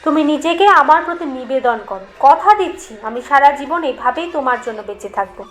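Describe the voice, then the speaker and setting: A woman's voice: high-pitched, expressive, brisk, slight background noise. A woman voicing a lovestruck young man in a storybook, pleading fervently. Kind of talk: storybook character reading.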